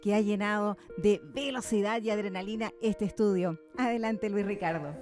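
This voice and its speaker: A woman's voice: mid-range voice, normal speed, darkish tone, faint music behind. A woman presenting a talk show as co-host in scripted links.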